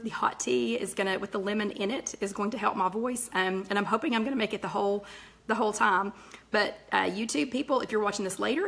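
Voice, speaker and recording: A middle-aged woman, her voice raspy and nearly gone, hosting a live chat and greeting viewers by name between thoughts. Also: medium pitch, fairly bright tone. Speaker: host of a live weight-loss chat.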